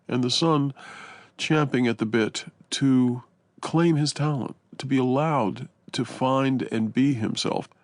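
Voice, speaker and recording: A man giving reflective literary commentary in a deliberate way, with halting pauses and emphatic phrasing. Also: mid-range voice, creaky, no echo.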